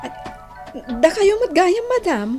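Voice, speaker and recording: An actress playing a poor, devout and patient housewife in an Ilocano radio drama. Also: female voice, very high-pitched, very animated, unhurried, darkish tone, faint music behind, full character voice. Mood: concerned, pious.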